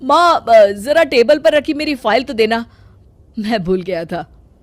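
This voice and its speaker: A woman's voice: a little high, lively intonation, unhurried, no room sound. Woman voicing a young man, complaining. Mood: hurried. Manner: complaining, pleading. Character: young adult son, exasperated office worker.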